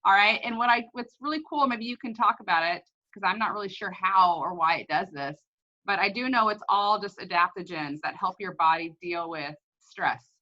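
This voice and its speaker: Lady host w a really bright voice, chatty and enthusiastic, kinda muffled lofi recording. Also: mid-range voice, moderate pace. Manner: enthusiastic, personal and informal. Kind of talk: casual chat, product testimonial.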